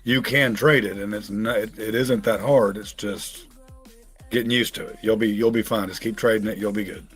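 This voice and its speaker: A husky American man chats loosely on a live trading stream, drifting into joking stories. Soft music hums faintly behind him. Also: fairly low pitch, fairly even intonation, normal speed. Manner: joking, rambling storyteller. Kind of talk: casual live-stream chat.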